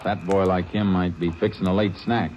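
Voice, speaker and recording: A man playing a terse, deep-voiced lawman. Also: fairly even intonation, moderate pace, muffled recording, background noise, natural voice. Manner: clipped, matter-of-fact delivery. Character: steady, terse lawman narrating his case. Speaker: narrator and lead. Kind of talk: 1950s radio drama acting.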